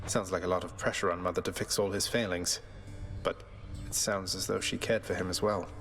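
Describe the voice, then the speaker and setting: Deep, wry young underworld prince. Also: male voice, level tone, fairly quick, fairly bright tone, soft background music. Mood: reflective. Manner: dry, understated, casual politeness. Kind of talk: video game character acting.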